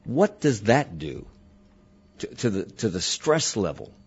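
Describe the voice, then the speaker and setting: A man hosting a radio talk show, asking slow, deliberate questions and reading listener comments. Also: on the low side, big pitch swings, husky, muffled recording. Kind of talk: interview discussion.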